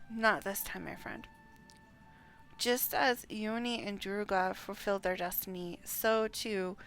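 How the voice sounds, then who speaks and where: A woman's voice: medium pitch, creaky, a bit bright. A woman livestreaming a game, chatting and reading dialogue aloud, sometimes stumbling over names. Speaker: game streamer.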